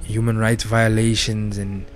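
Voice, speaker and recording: A young man giving a casual, slangy football rant, excitable and full of swearing and repetition. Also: on the low side, a little slow, soft background music. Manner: slangy, excitable, swears a lot, repeats himself. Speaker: football vlogger.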